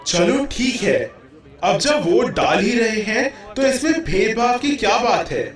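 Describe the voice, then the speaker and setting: A lazy, bossy family man acted by a man in a puppet show speaks in a high, swooping voice. His manner is theatrical and dismissive, and the sound is very echoey. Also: expressive, unhurried. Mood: conceding. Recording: very echoey hall, distant mic.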